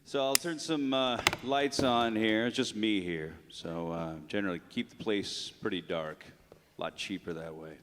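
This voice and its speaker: Actor as a low, weary, grumbling old man. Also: fairly even intonation, normal speed, close to an everyday voice. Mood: gruff, awkward. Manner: grumbling, hesitant, dismissive. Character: bitter, weary old widowed man, reclusive and miserly. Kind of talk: live radio drama acting. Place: large town hall before an audience. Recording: live theatre stage, long hall reverb.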